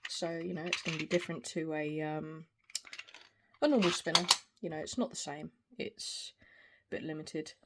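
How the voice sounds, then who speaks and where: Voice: mid-range voice, normal speed. Reviewer in their forties, androgynous mid-range voice, hesitant casual chat over noticeable noise and clicks. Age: 40s. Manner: hesitant, rambling. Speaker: video reviewer. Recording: clear background noise, small clicks.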